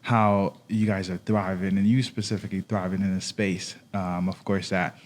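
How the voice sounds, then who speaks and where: A man's voice: low-pitched, little pitch movement, normal speed. A man from Haiti co-hosting a podcast in a casual, conversational style. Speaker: podcast co-host.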